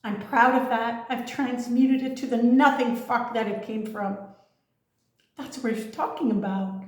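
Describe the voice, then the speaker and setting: A woman's voice: a little high, fairly even intonation, measured pace. A woman giving an impassioned, profane monologue as a spiritual coach, firing off rhetorical questions and swearing freely. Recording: very echoey room.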